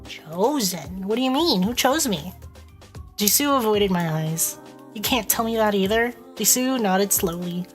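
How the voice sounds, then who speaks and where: A woman's voice: medium pitch, lively intonation, unhurried, faint music behind. A woman streamer with a stuffy, congested voice, reading a visual novel aloud and breaking off to joke with her chat. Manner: playful, reads dialogue aloud and breaks off to joke.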